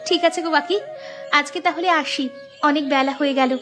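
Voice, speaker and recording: A troubled young village woman acted by a woman with a very high voice. She is respectful and plaintive, in a cartoon with music clearly playing underneath. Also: fairly even intonation, moderate pace, lightly acted. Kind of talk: cartoon dialogue.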